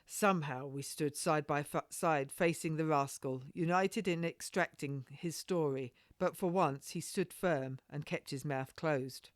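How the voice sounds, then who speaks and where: A woman's voice: low-pitched, unhurried, few pauses, no echo, studio quality. A woman author reading her own historical novel aloud as informal, scripted storytelling. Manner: unpolished, with stumbles and self-corrections. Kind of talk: informal audiobook narration.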